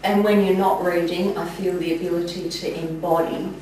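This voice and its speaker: Female yoga teacher, reflective discussion, rather low voice, clear background noise in a reverberant space. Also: steady tone, moderate pace. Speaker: experienced yoga teacher in training session. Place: echoey indoor room, from a distance. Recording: very echoey room, distant mic, clear noise.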